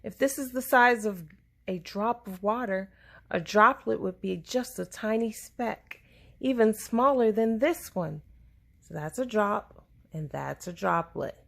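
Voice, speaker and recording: A woman reads a children's science book aloud at a slow, deliberate pace. Her voice has a noticeable creak and fry at its edges. The phrasing is simple, suited to a young listener. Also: medium pitch.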